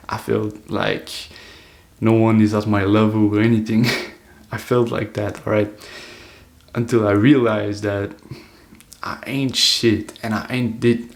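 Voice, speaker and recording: An eighteen-year-old man talking to camera in an earnest, loose, reflective monologue, full of "like" fillers. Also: on the low side. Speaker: self-improvement vlogger.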